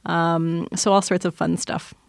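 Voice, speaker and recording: A woman's voice: lowish voice, little pitch movement, measured pace, gravelly, no room sound, clean studio sound. A woman who produces podcasts, explaining things casually as an interview guest.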